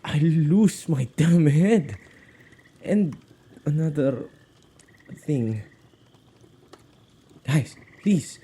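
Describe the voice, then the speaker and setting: A man acting an anxious, protective witch who guards spirits, in an intimate, expressive ASMR audio roleplay with a halting conversational delivery. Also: a little high, husky, full character voice. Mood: agitated, pleading. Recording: faint background noise.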